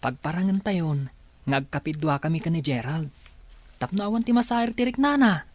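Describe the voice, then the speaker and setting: A man's voice: fairly high pitch, animated, moderate pace. Earnest, persuasive son man acting. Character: earnest, scheming but self-sacrificing grown son. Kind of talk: Ilocano radio drama acting.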